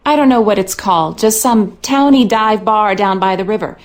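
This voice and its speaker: An actress playing the worried, weary lady of a rundown manor in a video game, speaking in a measured, dry, articulate way. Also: medium pitch, natural voice. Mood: exasperated, worried.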